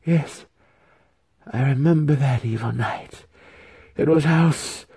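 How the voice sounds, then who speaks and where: Guy doing a tormented lady ghost, pitched way up, urgent and shrieky, fantasy audiobook. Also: slow pace, dark tone, husky, heavily acted. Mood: urgent, frantic. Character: tormented, frantic female spirit of a fallen priestess.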